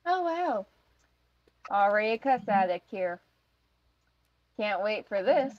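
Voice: medium pitch, very animated, takes it slowly, no room sound. A performer voicing a weary, sarcastic cartoon circus resident in an amateur fan dub, drawling sardonically on a dull mic. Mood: sardonic.

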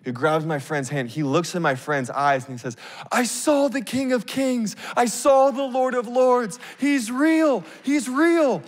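Man, 30s, pastor, animated casual sermon, handheld mic, lingering hall echo. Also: very high-pitched, unhurried. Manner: animated, acts out scenes, casual fillers. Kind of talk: sermon storytelling. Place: large church stage. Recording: long reverb of a big hall.

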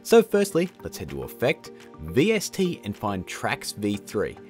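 A man in his thirties presents a casual tutorial with a fairly low voice. His intonation swings in a lively way and his style is jokey, with music faintly behind him. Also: measured pace. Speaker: host of a video tutorial show. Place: small indoor studio room.

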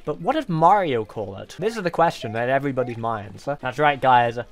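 Animated gamer man with music behind. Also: mid-range voice, normal speed. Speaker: video game streamer, host. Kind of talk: playful game commentary with read-aloud game text.

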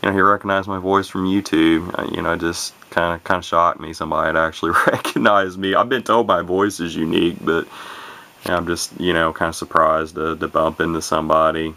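Hobbyist vlogger, man, low gravelly voice with a bright edge, runs his words together. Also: fairly quick. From Illinois, USA. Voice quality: low gravelly voice, talks monotone. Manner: rambling, many fillers and restarts, runs words together. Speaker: YouTuber showing vintage radios. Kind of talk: casual unscripted talk to camera.

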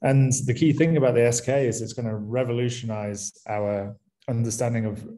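Relaxed Mancunian man giving a talk. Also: mid-range voice, normal speed. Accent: Mancunian English. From England, near Manchester. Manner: relaxed, with frequent ums and restarts. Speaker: university lecturer. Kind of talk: conference talk.

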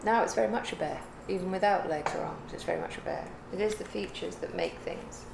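Older woman, lowish creaky voice, unhurried reflective chat, home room sound. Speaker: guest, the host's mother. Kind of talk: casual chat on a craft livestream. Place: living room or home craft room. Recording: echoey room, clear background noise.

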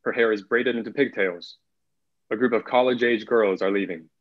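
A man's voice: fairly low pitch, moderate pace. A young man narrating, reading out screenplay stage directions over a video call. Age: young adult. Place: indoor room, video call. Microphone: video call, computer mic. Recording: muffled video call audio.

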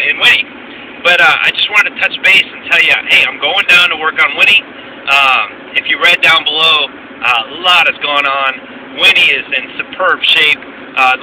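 Guy in his 40s talking to a dash cam while driving, kinda high voice, lots of uhs and ums. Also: sharp tone, poor audio. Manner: chatty, upbeat, many fillers. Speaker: vlogger. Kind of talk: casual video update while driving. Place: inside a moving truck. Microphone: camera on dashboard. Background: faint road noise.

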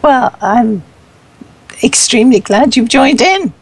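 A woman's voice: a little high, expressive, slow pace, slightly rough, dry recording. A woman interviewer conducting a friendly event interview in a complimentary, encouraging way. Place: online voice chat in a virtual world.

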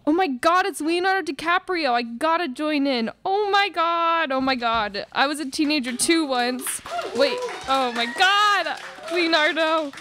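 A woman's voice: high-pitched, lively intonation, a little slow, pushed and bright, heavily acted. A young woman acting a bubbly, self-deprecating movie star in a live radio theatre comedy. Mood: starstruck. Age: young adult. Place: college classroom stage, indoors, small audience. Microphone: stand microphone, close.

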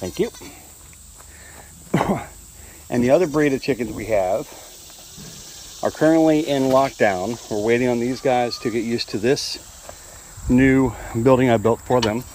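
Easygoing guy filming his farm outside, middle pitch, bit of faint noise. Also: unhurried, long pauses, low-quality recording. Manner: relaxed, explaining while filming. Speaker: homesteader showing his farm. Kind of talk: casual walk-through narration. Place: farmyard outdoors.

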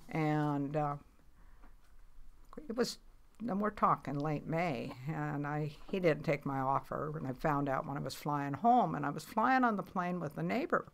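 Gravelly older woman telling fishing stories. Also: low voice, unhurried. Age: 80s. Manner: rambling, matter-of-fact, many fillers and restarts. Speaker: guest, commercial fisher. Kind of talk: casual storytelling interview.

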